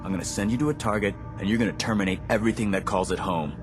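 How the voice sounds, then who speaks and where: A man performs a stern, contemptuous squad officer from a video game. His voice is low and his manner curt and condescending. Music plays clearly behind him. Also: racing, natural voice. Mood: stern, suspicious. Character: gruff, hostile, contemptuous male military squad officer. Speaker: military officer handing out assignments.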